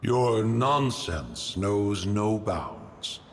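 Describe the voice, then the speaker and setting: A man's voice: fairly low pitch, big pitch swings, drawn-out words, slight background noise, full character voice. A man voicing a haughty, ancient guardian immortal in a video game, speaking in a solemn, archaic, formal way. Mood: stern, dismissive. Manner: stately, archaic, formal, refers to self as "one". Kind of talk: scripted video game dialogue. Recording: long reverb with music behind.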